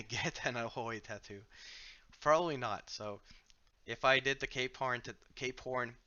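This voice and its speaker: A man from Finland with a Finnish accent, hosting a casual game livestream on a headset mic, relaxed and self-deprecating as he plays and answers viewer questions. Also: mid-range voice, unhurried, muffled recording. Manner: relaxed, self-deprecating, frequent filler words and restarts. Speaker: livestream host from the game company. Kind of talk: casual livestream chat while playing and reading viewer questions.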